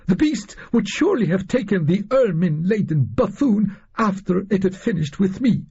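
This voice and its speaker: A man's voice: high-pitched, expressive, normal speed, vocal fry, mellow, breathy edge, lo-fi sound, voice pushed up, full character voice. A man voicing a defiant red-haired Irish musician with a broad Dublin accent, impassioned and scornful, in an audiobook story.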